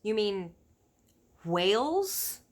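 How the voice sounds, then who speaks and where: A woman's voice: mid-range voice, very animated, extremely slow. A woman voicing a curious, polite but spirited young girl in a children's storybook, asking questions. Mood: questioning. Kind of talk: children's storybook read-aloud.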